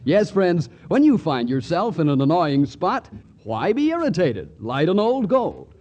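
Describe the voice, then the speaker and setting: A man's voice: fairly high pitch, big pitch swings, a little slow, darkish tone, highs cut off. A man announcing on 1940s radio in a folksy, jokey, salesmanlike manner. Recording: vintage 1940s radio broadcast.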